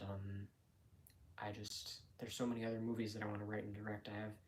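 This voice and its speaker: A nineteen-year-old man chatting casually and unscripted, rambling and thinking aloud with hesitations and self-corrections as he talks to viewers. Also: on the low side. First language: English. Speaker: live streamer chatting with viewers. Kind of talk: casual unscripted chat answering viewers' questions.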